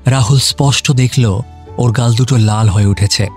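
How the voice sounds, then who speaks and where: A man's voice: mid-range voice, fairly even intonation, normal speed, a little mellow, faint music behind. A man narrating a scripted romantic audio story.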